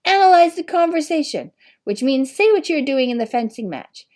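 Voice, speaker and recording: A woman's voice: high voice, sing-song, normal speed, darkish tone, pitched above the performer's own voice. A woman voicing a furious, scornful French fencing teacher, a man, in an emphatic, scolding storybook manner. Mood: barking.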